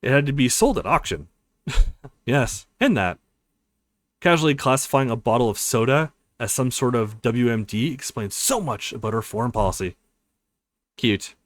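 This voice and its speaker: A man doing a let's play, reading a visual novel aloud in an expressive, playful way. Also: medium pitch, normal speed, dry recording, studio quality. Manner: expressive, voices characters, laughs often.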